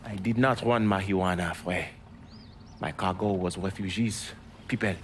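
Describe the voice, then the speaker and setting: A man playing a bitter, defiant Haitian smuggler and former priest in a video game, with a Haitian accent and a mocking, guarded, deliberate delivery. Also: sits low, steady tone, slight background noise, lightly acted. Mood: cool, pointed. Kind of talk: video game cutscene dialogue.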